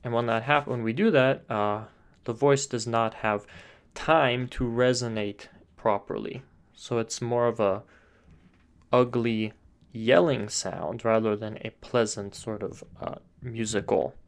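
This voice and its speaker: Calm man explaining chanting technique. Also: mid-range voice, clean tone. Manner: calm explanatory, frequent "sort of" and "uh" fillers. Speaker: chant teacher. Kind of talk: spoken voice lesson.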